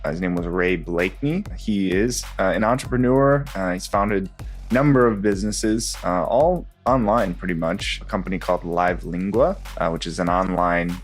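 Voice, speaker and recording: A man hosting a marketing podcast, introducing his guest in a halting, casual way with frequent pauses to find words. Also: low voice, faint music behind. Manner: halting, many uh fillers. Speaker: podcast host.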